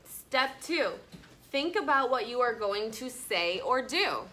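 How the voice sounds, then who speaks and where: A woman's voice: on the high side, sing-song, slow pace, pushed and bright, slight background noise. A woman teacher reading a storybook aloud expressively and leading children through it, firm and instructive. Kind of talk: storybook read-aloud with class discussion. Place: classroom with children present.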